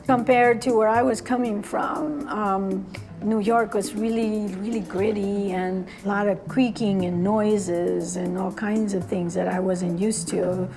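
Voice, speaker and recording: A woman's voice: mid-range voice, steady tone, unhurried, vocal fry, music in the background. A woman from Argentina, a cosmetics brand founder, chatting casually about her life and work and laughing easily. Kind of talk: casual conversation.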